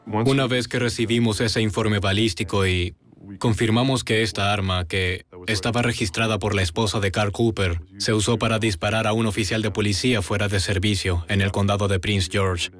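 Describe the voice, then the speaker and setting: A man giving a steady, matter-of-fact voice-over translation for a police sergeant's interview. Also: on the low side.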